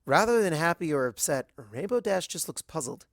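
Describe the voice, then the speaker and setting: A narrator giving an expressive first-person reading of a fan fiction story. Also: mid-range voice, moderate pace, dry recording, studio quality.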